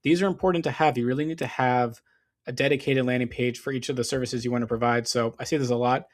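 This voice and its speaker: A man narrates a screen-share walkthrough in a loose, conversational way, stopping often for ums and restarts. His voice is starting to go hoarse, and he clears his throat now and then. He sits at a middling pitch for a man. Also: fairly even intonation, fast. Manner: casual, explanatory, frequent fillers and restarts. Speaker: SEO business owner.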